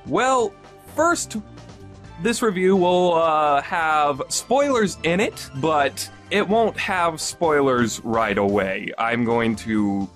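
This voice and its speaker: A man giving a casual spoken review on a podcast, rambling with fillers and restarts and jumping up in pitch on stressed words. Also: fairly bright tone.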